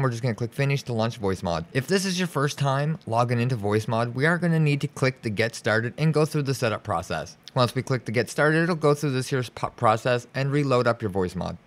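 Man narrating an informal software tutorial. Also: mid-range voice. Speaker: tutorial host. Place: indoors, voice-over for a screen recording.

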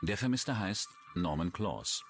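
A man's voice: sits low, animated, a little slow, soft background music. A man with a standard German accent reading scripted true-crime documentary narration.

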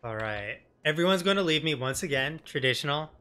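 A man's voice: on the high side, expressive, a little fast, bright, forceful tone, clean tone. A man in his thirties giving casual, joking, rambling let's play commentary as a streamer.

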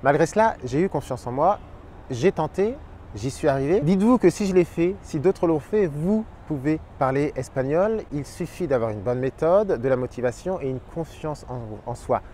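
An energetic man in his thirties talks to camera in a fairly high voice, explaining his tips as a Spanish teacher. He is recorded on a lapel mic outdoors, with faint traffic and park ambience behind him. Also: normal speed. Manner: energetic, expressive, gestures with his hands. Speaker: Spanish teacher and channel host.

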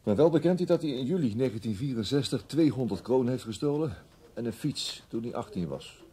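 Weary senior male detective for radio drama, authoritative, soft dark timbre. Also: medium pitch, animated, a little fast, husky. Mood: matter-of-fact.

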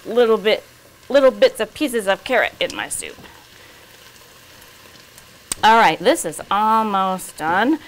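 A middle-aged woman walks viewers through a recipe in a home kitchen, talking slowly and casually. She fills pauses with ums and starts sentences over. A faint hiss sits under her voice. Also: medium pitch, low-quality recording. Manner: chatty, unhurried, many ums and restarts. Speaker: cooking show host. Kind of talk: casual cooking demonstration.

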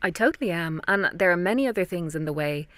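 A woman's voice: on the low side, expressive, fast talker, fairly bright tone, no room sound. A young woman with an Irish accent, a voice actor telling her story conversationally in an interview, close on a studio mic. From Ireland. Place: small padded recording booth. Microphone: close studio microphone, dry.

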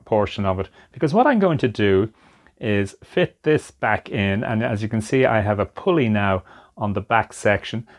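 A man giving unscripted hands-on narration at a workbench, explaining things step by step in a measured way. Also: fairly low pitch. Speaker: hobbyist presenter of a radio restoration. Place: indoor workshop at a workbench.

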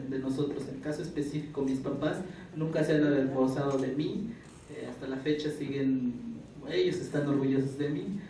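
A man's voice: a little high, dark tone. A man from Juchitán in Mexico giving an earnest testimony at a panel, explaining patiently and repeating his key words. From Juchitán, Isthmus of Tehuantepec, Mexico. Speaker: panel speaker. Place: conference room. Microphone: table microphone, recorded by far camera. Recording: echoey room from far off, noisy.

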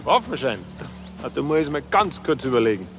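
An actor playing a cagey, grumbling poacher with a Bavarian accent and a rough voice in a TV crime comedy. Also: male voice, on the high side, very animated, brisk, background noise. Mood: evasive. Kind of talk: television crime comedy acting.

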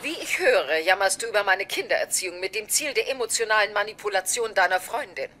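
A woman's voice: medium pitch, expressive, fast, a crowd in the background, lightly acted. An actress playing a detached, analytical middle-aged mother in a dubbed sitcom, formal and clinical. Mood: coolly analytical. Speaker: sitcom character. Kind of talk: German-dubbed sitcom acting. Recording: sitcom soundtrack with loud noise, laughter.

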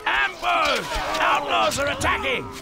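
An actor playing a theatrical medieval nobleman and soldier in a video game, speaking in a dramatic, declamatory way with a creaky, husky voice. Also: very high-pitched, big pitch swings, a little slow, loud music behind the voice, a loud crowd, exaggerated voice. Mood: urgent, alarmed. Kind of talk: video game cutscene acting.

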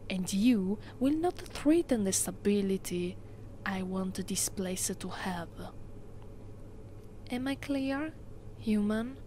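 A woman with an Italian accent playing a haughty, possessive immortal vampire who rules a castle, in a soft, imperious, often whispered ASMR roleplay. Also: mid-range voice, slight background noise, low-quality recording. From Italy. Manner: imperious, slow and deliberate.

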